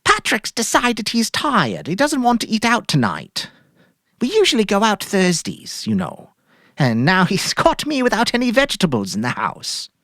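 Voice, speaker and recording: A narrator putting on a high, sing-song housewife. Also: huge pitch swings, normal speed, sharp tone, no room sound, studio quality, heavily acted. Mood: bright, rehearsed cheer. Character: Mary Maloney, bright falsely cheerful housewife.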